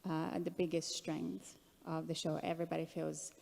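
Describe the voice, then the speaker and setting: A woman's voice: sits low, little pitch movement, normal speed, vocal fry, darkish tone. A woman talking casually as an actress on a panel, recorded in an echoey hall. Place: large indoor conference hall.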